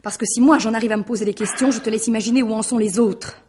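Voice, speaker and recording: An actress in a French radio mystery drama, playing a suspect's anxious mistress with an intimate, pleading, reproachful manner. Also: female voice, fairly high pitch, steady tone, fast talker, dark tone, a little hiss or hum, close to an everyday voice. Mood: reproachful, tense.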